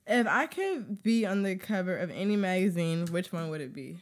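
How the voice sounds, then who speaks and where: Young woman with a deep, animated voice. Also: normal speed. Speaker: podcast co-host. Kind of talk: casual chat.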